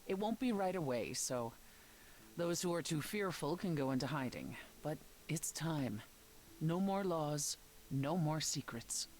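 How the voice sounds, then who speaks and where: Game role: composed female leader of immortals, low register, measured and dryly formal. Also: lively intonation, darkish tone, rough recording. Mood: solemn, resolved. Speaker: voice actor. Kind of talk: dramatic dialogue in a story game.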